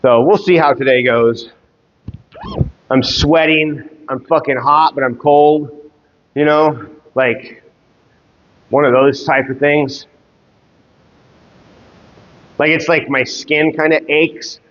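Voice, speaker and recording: A stuffed-up man chatting casually on a fitness livestream, talking in halting, rambling bursts full of fillers. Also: on the high side, fairly even intonation. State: congested, stuffed up. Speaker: fitness streamer. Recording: muffled sound with long reverb.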